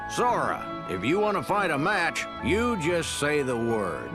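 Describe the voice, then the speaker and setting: Excitable high pitched fanboy in a game, theatrical, gushy, music under it. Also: male voice, measured pace, full character voice. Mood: gushing. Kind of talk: video game cutscene acting. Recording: music behind the voice.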